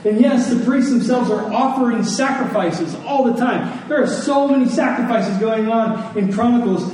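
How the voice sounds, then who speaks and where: A man's voice: extremely high pitch, normal speed, a little mellow. A middle-aged man preaching a sermon in an earnest, conversational way, repeating phrases for emphasis. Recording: echoey room, distant mic, faint noise.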